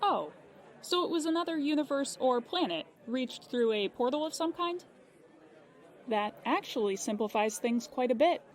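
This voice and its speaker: An actress playing a polite, unflappable insurance claims agent in an audio drama, precise and businesslike. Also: female voice, high-pitched, lively intonation, normal speed, a faint crowd. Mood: matter-of-fact. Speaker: claims agent on a phone call.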